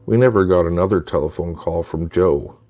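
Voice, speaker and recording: A man narrating spooky true stories in a scripted, measured and deliberate storytelling style. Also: sits low, warm tone.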